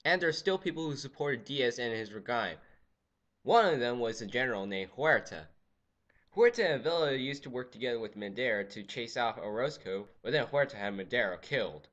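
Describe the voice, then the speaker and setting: A teenage boy giving a goofy, jokey history lecture, swinging from loud exclamations to quiet asides. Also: medium pitch, a little fast, highs cut off. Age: teens. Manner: goofy, animated, jumps between loud exclamations and mutters. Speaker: student presenter. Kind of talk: comedic lecture with skits.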